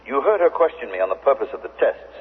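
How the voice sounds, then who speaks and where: A man's voice: mid-range voice, sing-song, quick pace, husky. A man acting in a 1970s radio drama as a coolly analytical undercover agent of a future regime, with a formal, probing manner. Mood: curious, probing. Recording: muffled, hissy, echoing 1970s radio recording.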